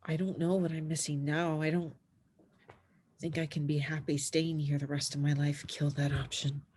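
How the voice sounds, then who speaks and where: A woman playing an anxious, frustrated transgender teenage snowboarder in a screenplay table read, with a husky voice. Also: low voice, little pitch movement, normal speed, darkish tone, slight background noise, lightly acted. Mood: anxious, dejected.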